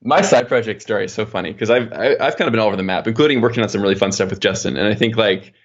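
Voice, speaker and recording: A man's voice: on the low side, racing, dull audio. A man chatting casually as an interview guest, explaining his work as an engineer in a rambling, informal way. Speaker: podcast guest, software engineer.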